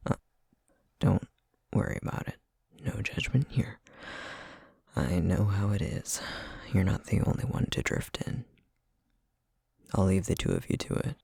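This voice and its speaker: A man playing a gruff, weary but welcoming housemate in an ASMR roleplay, with a raspy, rumbling, slightly congested voice and a hesitant, matter-of-fact way of talking. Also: mellow, no echo, clean studio sound, exaggerated voice. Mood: weary, kind.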